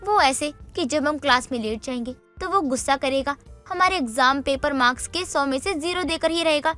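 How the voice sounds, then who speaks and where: A woman's voice: high-pitched, brisk, sharp tone, faint music behind. A woman playing a vain, touchy young college girl in a cartoon story, slangy and defensive in an exaggerated way. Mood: breezy, defensive. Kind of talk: Hindi animated moral story dialogue.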